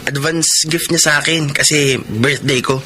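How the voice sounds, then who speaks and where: An actor playing a brash, hot-tempered young brother who drives a tricycle and gambles, in a Filipino radio drama. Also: male voice, fairly high pitch, a little slow, pushed and bright. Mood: cocky, carefree. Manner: cocky, emphatic. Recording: noisy radio drama audio.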